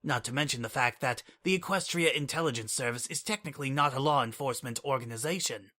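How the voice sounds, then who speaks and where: Brief: a man playing a cool, formal pony official in a storybook reading, measured, softer and even. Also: medium pitch, lightly acted. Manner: formal, measured and legalistic, refers to self as "this one". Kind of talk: fan dramatic audiobook reading.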